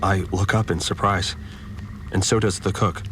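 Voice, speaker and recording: An actor playing an uneasy farmer, giving measured, subdued first-person narration in an audio drama. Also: male voice, low voice, fairly even intonation, background noise, lightly acted. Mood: tense, watchful. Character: uneasy male farmer recounting events in a confined community.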